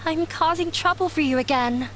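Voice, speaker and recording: A woman voicing a shy young runaway in a game dub, speaking quietly and apologetically. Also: high voice, moderate pace, a little hiss or hum, lightly acted. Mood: apologetic.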